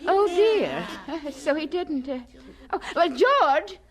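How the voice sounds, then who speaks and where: A woman's voice: extremely high pitch, sing-song, takes it slowly, full character voice. A woman playing a kindly, easily flustered schoolteacher in a radio drama, speaking in a fluttery, hesitant way.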